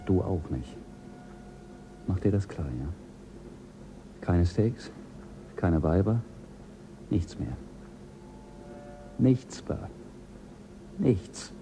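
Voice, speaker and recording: A man's voice: sits low, big pitch swings, drawn-out words, gravelly, pauses often, background noise, heavily acted. An actor playing Jell, the stern male pilot of a spaceship, in a German radio play, talking in a firm, commanding and threatening way.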